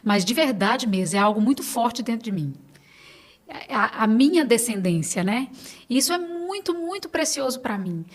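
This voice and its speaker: A woman's voice: a little high, lively intonation, normal speed. A middle-aged Brazilian woman talking casually as a podcast guest, telling stories and quoting conversations as she goes.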